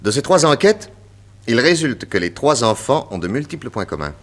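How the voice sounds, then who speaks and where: An actor playing a confident, witty detective inspector with a French accent, emphatic and theatrical in 1960s radio drama style. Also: male voice, medium pitch, very animated, normal speed. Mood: methodical, assertive. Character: confident, witty male detective inspector explaining his deductions.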